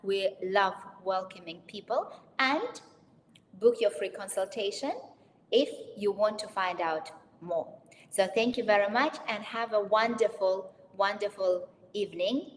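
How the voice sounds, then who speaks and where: A woman with a Russian accent answering audience questions as a real estate consultant hosting an online webinar, speaking informally and directly to her listeners. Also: unhurried. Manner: addresses listeners directly, reads questions aloud then answers. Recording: reverberant hall-like room, faint rumbling noise.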